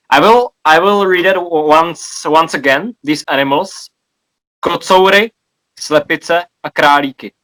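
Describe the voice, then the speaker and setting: A man's voice: fairly high pitch, unhurried, fairly bright tone. A man from Czechia in a casual video chat, patiently repeating and explaining words. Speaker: language exchange participant.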